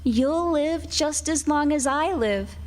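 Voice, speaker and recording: An actress playing a wicked, vengeful dying wife who taunts slowly in old-time radio drama style. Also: female voice, high voice. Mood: taunting, dying. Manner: slow, taunting, drawn-out.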